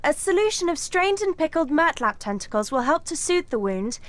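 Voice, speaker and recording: An actress playing an earnest, bossy, bookish teenage schoolgirl in a video game, brisk, precise and admonishing. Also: high voice, very animated. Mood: concerned, admonishing. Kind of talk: video game character acting.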